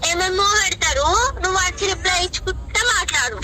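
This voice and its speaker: A woman's voice: very high voice, quick pace. A young woman with a Telangana Telugu accent, scolding and defiant on a casual phone call. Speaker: friend on the receiving end of a prank call. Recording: very noisy phone call audio.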